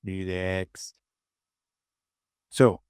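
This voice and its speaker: A man gives a casual software tutorial in a low, gravelly voice. He talks slowly, in short muttered phrases that he often repeats to himself. The sound is dry and close to a plain voice-over. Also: little pitch movement, dry recording. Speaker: tutorial host. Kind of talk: casual screen-recorded software tutorial narration.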